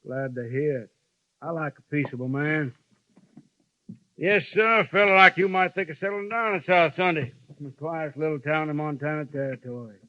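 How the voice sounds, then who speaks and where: An actor playing a genial but menacing frontier town boss with a folksy drawl in a 1950s radio western. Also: male voice, a little high, moderate pace, no echo, lightly acted. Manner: folksy drawl with a veiled threat. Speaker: town boss who lays down the law. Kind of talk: 1950s radio drama acting. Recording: dry, dull old radio broadcast.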